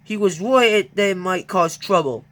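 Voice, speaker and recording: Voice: medium pitch, measured pace, heavy background noise, natural voice. A reader voicing a grumbling, teasing male steam engine in a children's storybook, with blunt, dismissive retorts. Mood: retorting.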